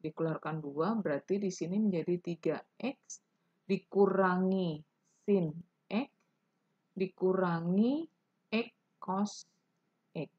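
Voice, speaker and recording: A woman's voice: lowish voice, slow pace, long pauses, breathy edge, muffled recording. A woman teaching a math lesson, explaining each step patiently and answering imagined student questions.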